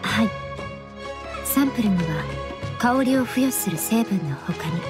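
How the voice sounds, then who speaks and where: A woman playing a composed, polite young perfumer in a Japanese game dub, formal, analytical and measured in manner. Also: a little high, lively intonation. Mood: clinical, measured. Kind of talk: Japanese anime game dubbing. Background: background music.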